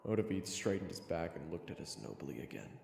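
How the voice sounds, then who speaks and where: A man's voice: sits low, sing-song, brisk, creaky, darkish tone, a little hiss or hum, full character voice. A man playing an earnest, easily flustered young fantasy hero who also narrates in the first person, in an audio drama. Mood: uneasy narration. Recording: echoing hall reverb, music behind.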